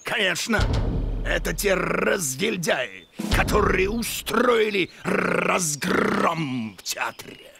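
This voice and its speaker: An actor voicing a strict, blustering drum commander in a children's cartoon, with a barking martial manner. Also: male voice, high voice, animated, slow pace, gravelly, loud music behind the voice, exaggerated voice. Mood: gruff, martial. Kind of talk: children's cartoon character acting.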